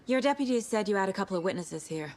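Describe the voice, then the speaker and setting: A woman's voice: medium pitch, animated, fast talker, a little mellow, natural voice. An actress playing a composed, professional female FBI agent in a game cutscene. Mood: steady. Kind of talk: video game cutscene acting.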